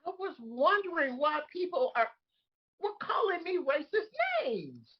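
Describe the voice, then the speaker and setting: A woman playing an aggrieved, bewildered disgraced crony with a husky voice in a comedic table read. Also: very high-pitched, wildly swooping pitch, measured pace, lo-fi sound, full character voice. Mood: bewildered, distressed. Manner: indignant, emphatic, dramatic. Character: Rudy, aggrieved, bewildered disgraced man trapped in woman's body.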